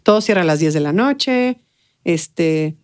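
Expressive Mexican woman, slightly low voice. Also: measured pace, smooth. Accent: Mexican Spanish. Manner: expressive, peppers talk with tag questions and colloquial Mexican slang. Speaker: interview guest. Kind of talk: casual conversational interview.

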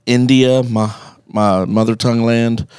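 A man's voice: medium pitch, fairly even intonation, a little slow, a little mellow, clean tone, no echo, clean studio sound. A man from the UK co-hosting a podcast, chatting upbeat and often laughing. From United Kingdom. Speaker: podcast co-host. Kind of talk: casual conversation.

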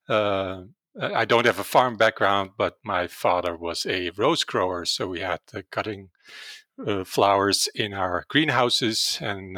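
Dutch-accented man, bright, dry close sound. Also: low-pitched, dry recording. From Netherlands. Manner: hesitant, many fillers, explanatory. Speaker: veterinary virology professor, guest. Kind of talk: podcast interview.

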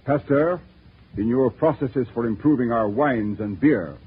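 An actor playing an imperious, stern French monarch in a 1940s radio drama, speaking in a regal, formal, commanding way. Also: male voice, mid-range voice, a little slow, slightly rough. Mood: regal questioning. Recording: tinny, noisy vintage radio broadcast.

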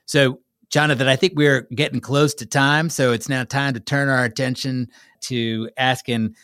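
A man's voice: mid-range voice, fairly even intonation, moderate pace, fairly bright tone, smooth, dry recording, clean studio sound. A man hosting a conversational interview, eager and affirming as he steers the discussion and puts questions to his guests. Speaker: podcast host.

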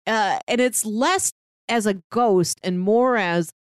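A woman's voice: mid-range voice, slow, clear, resonant tone, dry recording, studio quality. A woman co-hosting a podcast, casually discussing film and recounting things in explanatory detail. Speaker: podcast co-host.

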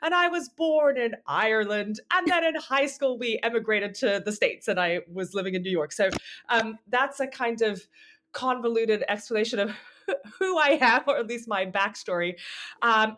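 A woman's voice: on the high side, fairly bright tone. A woman from Hong Kong, a mezzo-soprano guest, talking in a thoughtful, casual way with frequent fillers and restarts. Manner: thoughtful, many fillers and restarts, laughs easily. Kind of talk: casual interview chat.

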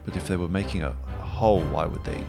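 Casting: man, low-pitched roleplay player, casual and halting improviser, recorded over music. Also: vocal fry. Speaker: player in a tabletop roleplay show.

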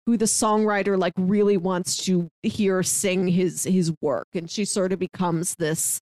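A woman's voice: lowish voice, measured pace, no echo. Chatty woman with a dry close sound. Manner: chatty, animated, laughs while talking. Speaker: podcast co-host. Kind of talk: casual movie review chat.

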